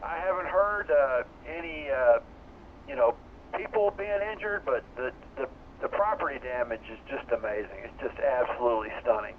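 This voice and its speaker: A man in his seventies, a ham radio operator chatting on a net in an earnest, halting way. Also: medium pitch. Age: 70s. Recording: tinny two-way radio with faint hiss.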